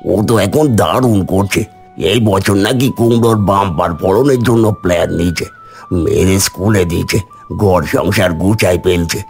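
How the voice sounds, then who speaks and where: A man voicing an earnest, caring older village father who persuades gently in a cartoon story. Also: low-pitched, normal speed, soft background music. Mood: earnest persuasion.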